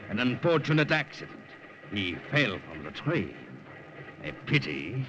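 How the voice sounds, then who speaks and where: Swooping officer in old radio drama. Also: male voice, mid-range voice, sing-song, unhurried. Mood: coldly menacing. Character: genial, tipsy German army officer, secretly shrewd and menacing. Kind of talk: 1950s radio drama acting. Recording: noisy, muffled old radio broadcast.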